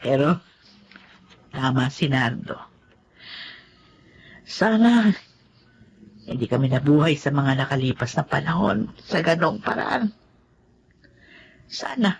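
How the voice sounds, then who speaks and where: An actress playing an older, remorseful woman in mourning in an emotional radio drama, speaking in a halting, heartfelt way. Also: sits low, vocal fry, heavily acted. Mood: wistful. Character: grieving, remorseful old woman mourning her longtime rival. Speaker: radio drama lead actor. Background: music.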